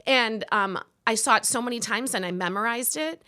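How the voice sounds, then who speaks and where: A woman in her forties from Wisconsin, telling stories as an interview guest in a goofy, lively way with frequent laughter. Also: a little high, moderate pace. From Milwaukee, Wisconsin. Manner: goofy, lively storyteller who laughs often. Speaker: podcast guest, improv performer. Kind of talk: casual interview chat.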